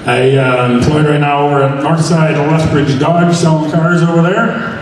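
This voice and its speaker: A man's voice: on the high side, darkish tone, distant mic, slight background noise. Man chanting auction bids in echoey hall. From Canada. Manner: rapid rhythmic bid calling. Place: hotel banquet hall stage. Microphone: handheld mic.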